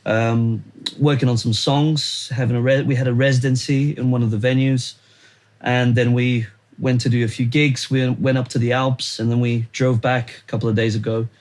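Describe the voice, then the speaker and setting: A relaxed young man speaks English with a Brazilian accent; it is his second language after Portuguese. He chats casually as an interview guest, often pausing to search for the right word. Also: medium pitch. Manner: easygoing, searches for words. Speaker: musician guest.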